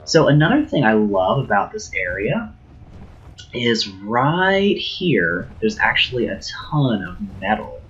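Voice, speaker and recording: A man's voice: on the low side, sing-song, slow, fairly bright tone, dull audio. A man, a little sick, casually streaming a game tour and chatting with his viewers.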